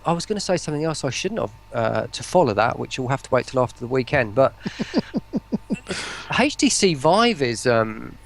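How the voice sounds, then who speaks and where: Man, lower-pitched middle range, gravelly fry, casual interview chat, rambling, enthusiastic. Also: sing-song, normal speed. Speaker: voice pack producer, stream guest.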